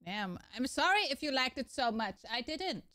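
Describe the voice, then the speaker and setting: A woman's voice: high-pitched, sing-song, moderate pace, pushed and bright. A young woman streaming a game, mumbling to herself and making dry sarcastic remarks. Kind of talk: casual gameplay commentary.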